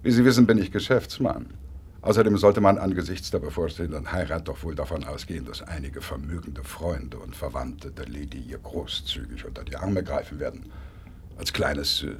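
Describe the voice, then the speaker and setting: An actor playing a suave, smug blackmailer in a radio drama, speaking with unctuous mock politeness and drawn-out hesitations. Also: male voice, a bass voice, slight background noise. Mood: mock regret, smug. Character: suave, smug male blackmailer, oily and coolly menacing.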